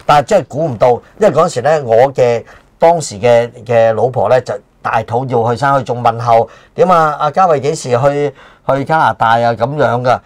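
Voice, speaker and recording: A man's voice: mid-range voice, normal speed, no echo. An older man with a Hong Kong accent hosting a casual chat and reminiscing in a small indoor room. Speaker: talk show host.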